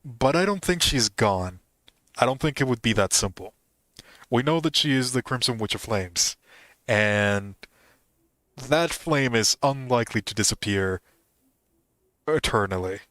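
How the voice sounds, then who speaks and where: A man's voice: medium pitch, animated, measured pace, long pauses, a bit bright, no echo. A man giving casual, musing commentary as he streams a game. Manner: musing, speculative.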